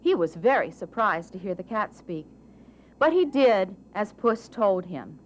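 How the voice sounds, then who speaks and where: Slow, animated woman storyteller. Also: medium pitch, poor audio. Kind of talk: scripted children's story narration.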